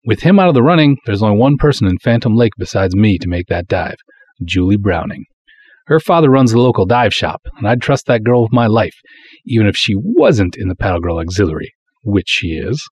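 A man's voice: lowish voice, very animated, brisk, a little mellow, breathy edge, no echo. A man playing a proud, folksy small-town cop who narrates his own pulp detective story in breezy, wisecracking, colloquial style. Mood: confident, casual. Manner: breezy, colloquial, hard-boiled wisecracking delivery. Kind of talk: dramatic first-person pulp story narration.

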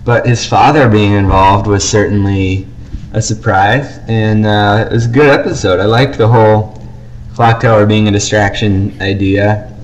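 A man's voice: lowish voice, steady tone, normal speed, low-quality recording. A man co-hosting a podcast, talking casually as he discusses and gives his opinions. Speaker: podcast co-host. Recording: faint background noise.

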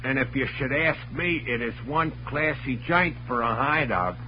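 An actor playing a dim but loyal cabbie sidekick in an old American radio drama, speaking in puzzled, ungrammatical slang. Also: male voice, medium pitch, normal speed, lightly acted. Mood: earnest, curious. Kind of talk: 1940s-50s radio drama acting. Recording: noisy, muffled old radio recording.

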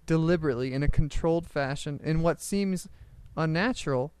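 This somewhat high-voiced man co-hosts a joking chat and plays a pushy, silly interviewer. His voice is smooth and clear. The recording is dry, with no room sound. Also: fairly quick, darkish tone, no echo. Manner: silly, probing, mock-interviewer. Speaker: co-host. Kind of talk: casual comedic chat.

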